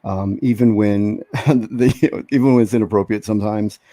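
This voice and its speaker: A man's voice: on the low side, steady tone, moderate pace, mellow, dry recording. A man from Texas, a comic book inker, chatting casually as a guest, joking and laughing often. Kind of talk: casual chat.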